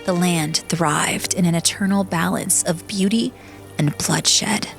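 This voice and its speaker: A woman's voice: fairly low pitch, takes it slowly, vocal fry, faint music behind. A woman narrating an audiobook in a solemn, poetic, dramatic style.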